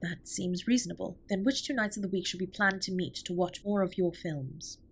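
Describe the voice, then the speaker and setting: A woman's voice: lowish voice, fairly quick, husky. A woman playing a formal, reserved but tender alien spaceship captain in a scripted comfort audio roleplay, speaking with precise, stilted politeness. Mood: earnest, shy.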